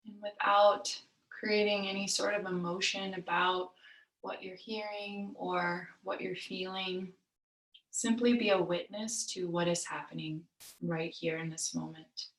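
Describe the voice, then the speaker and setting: A woman leading a guided meditation as a yoga nidra teacher, soothing and unhurried, with long pauses between phrases. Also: on the low side, steady tone, fairly bright tone. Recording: roomy echo, distant mic.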